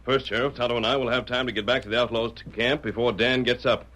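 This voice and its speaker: An actor playing a calm, heroic masked lawman in a 1938 radio drama, speaking earnestly and with measured care. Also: medium pitch, close to an everyday voice.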